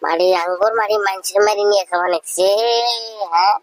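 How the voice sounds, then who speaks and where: A woman's voice: fairly low pitch, fairly even intonation, fast, lightly acted. A woman playing an aggrieved poor villager in an amateur comedy skit, complaining insistently in a rural Bengali dialect. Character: aggrieved poor villager scheming over family land. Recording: noisy amateur recording with clicks.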